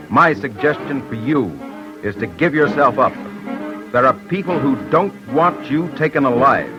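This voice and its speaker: A man hosting an old-time radio crime program, reading a script in a grave, urgent manner with direct appeals to listeners. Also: medium pitch. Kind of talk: mid-century radio scripted narration. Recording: muffled old radio broadcast with music. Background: music.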